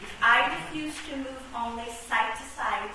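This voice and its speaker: A woman's voice: on the high side, normal speed, bright, forceful tone, recorded from a distance. A woman playing a curious, critical, sassy chess castle with a wry, theatrical delivery in a comic radio play. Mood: earnest, critical. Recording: echoey, faintly noisy stage recording from afar.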